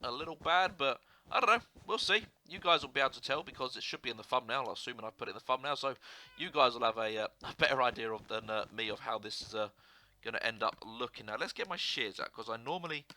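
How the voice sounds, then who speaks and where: Bright-voiced man with a sore throat. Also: mid-range voice, animated, fast. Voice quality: hoarse. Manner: rambling, lots of filler and restarts. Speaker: gaming video host. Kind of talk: casual gameplay commentary.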